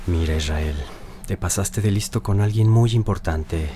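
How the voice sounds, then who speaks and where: An actor playing a corrupt, intimidating police boss in an audio drama, giving menacing orders. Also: male voice, sits low, moderate pace, natural voice. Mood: cold menace. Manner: menacing, commanding. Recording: noisy room with clicks.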